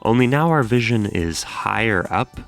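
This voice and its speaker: A man's voice: fairly low pitch, animated, normal speed, faint music behind. A man hosting a horror explainer, mixing scripted narration with casual, wry commentary and jokey, sweary asides. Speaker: host of horror series explainer videos.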